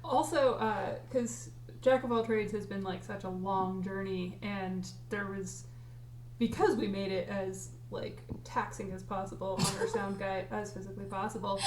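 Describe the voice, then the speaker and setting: A woman's voice: medium pitch, fairly even intonation, normal speed, darkish tone. A woman chatting casually on a group livestream as a cast member. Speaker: audio drama writer and lead voice actor. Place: indoors on a group livestream call. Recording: echoey, distant mic with hum and noise.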